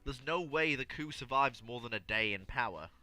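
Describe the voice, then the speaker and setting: A man's voice: mid-range voice, lively intonation, moderate pace, pushed and bright, dry recording. A man hosting a casual panel discussion and answering audience questions.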